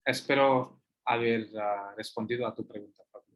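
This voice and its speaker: A man whose first language is Hindi, answering audience questions as a guest lecturer, halting often with fillers and restarts. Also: medium pitch, steady tone.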